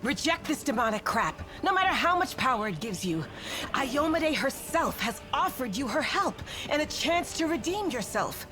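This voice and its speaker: A woman's voice: high-pitched, very animated, normal speed, music in the background. A woman voicing a blunt, righteous female adventurer in a video game, scolding and urgent.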